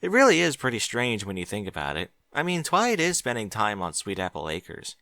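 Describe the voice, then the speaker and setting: A man's voice: lowish voice, huge pitch swings, a little fast, creaky, pushed and bright, dry recording, clean studio sound, full character voice. A man voicing a sensible young boy dragon who works in a library, matter-of-fact.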